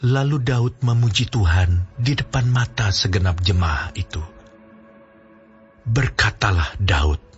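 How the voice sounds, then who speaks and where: A male narrator reads scripture as scripted audio drama narration. His voice is very low. The recording is a little dull, with soft music in the background. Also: lively intonation, slow.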